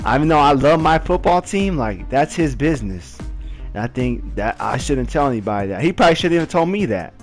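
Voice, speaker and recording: Animated young man telling a story. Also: brisk, soft background music. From Southern California. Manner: animated, leaps up high when reliving moments, heavy "like" fillers. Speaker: storyteller vlogger. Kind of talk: casual storytime narration.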